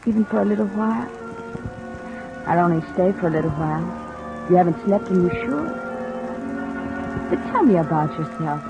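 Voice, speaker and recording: An actress playing a gentle, guarded woman with a troubled past, soft and earnest in 1950s radio style. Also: on the low side, animated, moderate pace, gravelly, long pauses, music in the background. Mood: tender, coaxing. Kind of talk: 1950s radio drama acting. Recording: muffled old radio recording under rain noise.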